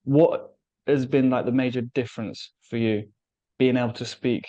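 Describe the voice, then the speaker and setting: A man's voice: mid-range voice, steady tone, moderate pace, mellow, lo-fi sound. A man hosting a casual interview, friendly and enthusiastic as he asks questions and thanks his guest. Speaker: interviewer, channel host.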